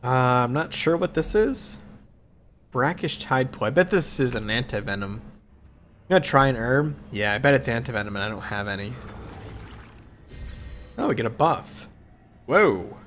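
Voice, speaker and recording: A man's voice: mid-range voice, normal speed, long pauses, slight background noise. A man with a cold and a congested voice, hosting casual let's play commentary and thinking aloud as he plays. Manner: relaxed, thinking aloud with fillers.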